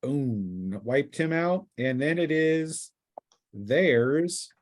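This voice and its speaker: A man narrating a tabletop fantasy game as game master, conversational and descriptive, often pausing mid-sentence as he improvises. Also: a little high, expressive.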